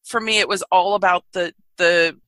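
A woman in her thirties with a low voice, telling her story openly and frankly as a podcast guest. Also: normal speed, no echo, studio quality. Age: 30s. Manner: open, frank, lively. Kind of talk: candid conversational storytelling.

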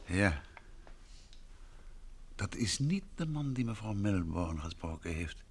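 A man acting a self-assured gentleman detective in an old Dutch radio drama, with a brisk, questioning manner. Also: on the low side, sing-song. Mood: probing. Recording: noisy vintage radio drama recording.

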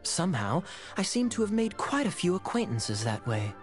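An actor playing a wry young cavalry captain in a video game, smooth and polished in manner. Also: male voice, high voice, sing-song, normal speed, faint music behind. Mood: suave, wry.

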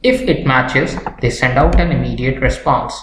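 A young man presenting a software tutorial in a scripted narration style. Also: mid-range voice, moderate pace. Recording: roomy echo with background noise, clicks.

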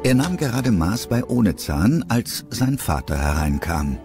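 A man's voice: fairly low pitch, big pitch swings, measured pace, over music. A man narrating a children's adventure radio play as a storyteller, in a scripted style.

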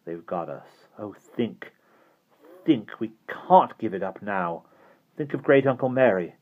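A man's voice: fairly low pitch, expressive, a little slow. A male reader takes on an anxious storybook girl, despairing and urgent in her pleas. The sound is muffled and narrow, like a telephone. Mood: desperate. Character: anxious, despairing young girl pleading for escape.

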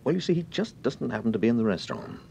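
An actor with a British accent playing an urbane, composed gentleman detective in a radio drama, polite, clipped and assured. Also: very animated, racing, gravelly, highs cut off. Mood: calmly confident. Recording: rumbly, noisy old radio recording.

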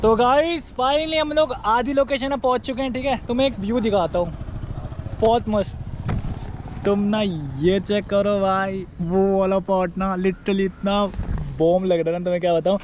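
A young man in his twenties chatting excitedly to viewers as a travel vlogger, filmed outdoors on an action camera. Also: sits high, moderate pace. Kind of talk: excited travel vlog chat. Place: outdoors on mountain roads and at a waterfall. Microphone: action camera on a chest mount and handheld. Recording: loud wind and water noise from an outdoor action cam.

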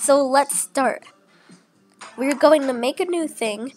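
A woman's voice: high-pitched, takes it slowly, darkish tone, soft background music. A young woman who is sick and sounds a little off, chatting casually with many fillers as she walks through a screen recording. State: sick, voice a bit off. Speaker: channel host.

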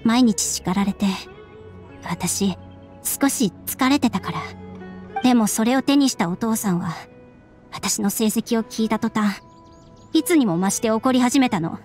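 A subdued young woman in an anime game. Her voice sits fairly high, measured and wistful, with serious resignation in it. Music plays behind her. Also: lively intonation, a little mellow. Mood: wistful, hurt. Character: subdued, resigned young woman, estranged daughter of strict scholar. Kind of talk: Japanese anime game voice acting.

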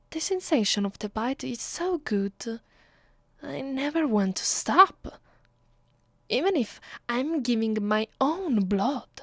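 A woman acting out a haughty vampire mistress with an Italian accent, speaking slowly and deliberately. She is domineering and cruel, giving orders in an intimate ASMR roleplay style. The sound is dry and close. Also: on the high side, darkish tone, no room sound. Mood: sensual, gloating. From Italy. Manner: commanding, deliberate. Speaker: voice actress. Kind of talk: ASMR roleplay, close and slow with whispered moments.

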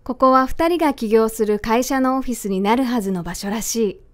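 A woman's voice: a little high, a little fast. A woman reading scripted narration for a radio drama.

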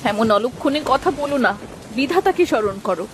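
A woman's voice: sits high, animated, a little fast, a little hiss or hum. A woman playing a careworn, practical village mother in a Bengali cartoon story, earnest and entreating. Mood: fretful.

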